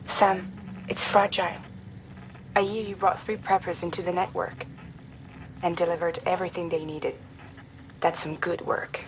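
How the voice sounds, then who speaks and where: Game courier, female actor: cool, composed, lowish female voice, slight background noise. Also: little pitch movement, moderate pace, pauses often, lightly acted, poor audio. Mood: approving. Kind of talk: video game cutscene acting.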